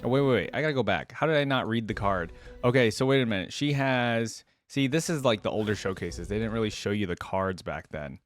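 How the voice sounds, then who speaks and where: A man's voice: on the low side, animated, fairly quick, few pauses, soft background music. A man hosting a casual reaction video, informal and thinking aloud as he comments.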